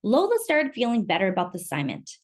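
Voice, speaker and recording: Expressive woman reading a picture book. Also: mid-range voice, fast. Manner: expressive, animated. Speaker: storyteller. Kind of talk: children's book read-aloud.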